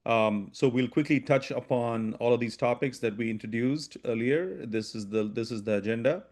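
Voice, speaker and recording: A man presenting a business webinar, talking quickly with frequent restarts and "right?" tags. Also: mid-range voice, a little mellow. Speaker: webinar presenter, product strategy VP.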